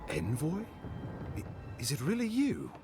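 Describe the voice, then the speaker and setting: An actor playing an excitable, astonished ally in a video game. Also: male voice, on the high side, unhurried, over music. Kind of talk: video game cutscene dialogue.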